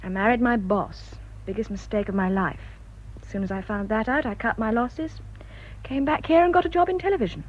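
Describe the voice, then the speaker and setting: A woman's voice: fairly high pitch, a little fast, lightly acted. An actress playing a self-assured, worldly English woman in a radio drama, teasing and probing as she talks. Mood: wry, probing. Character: brisk, inquisitive, worldly married woman in television. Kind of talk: British radio drama acting. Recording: dull, noisy old radio drama recording.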